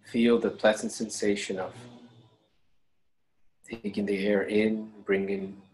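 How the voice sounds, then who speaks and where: A man leading a guided meditation, giving calm, unhurried instructions with long pauses between phrases. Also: lowish voice, poor audio. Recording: clear steady background noise.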